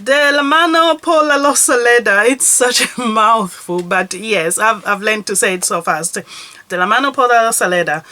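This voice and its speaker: A woman's voice: a little high, normal speed, bright, forceful tone. A woman reviewing her perfume collection to camera in a chatty, gushing, enthusiastic way. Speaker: fragrance vlogger. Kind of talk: casual product review to camera.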